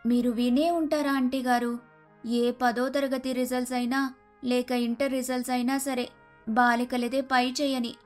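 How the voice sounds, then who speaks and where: A woman playing an outspoken, principled young daughter-in-law in a Telugu animated moral story, speaking in an earnest, preachy way. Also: sits high, normal speed, natural voice. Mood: earnest, lecturing. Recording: music under the voice.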